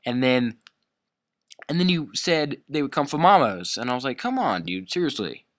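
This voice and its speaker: A man's voice: mid-range voice, sing-song, normal speed, a bit bright, no room sound, clean studio sound. A young man telling a story casually as a YouTube storyteller, in a slangy, profane, rambling way.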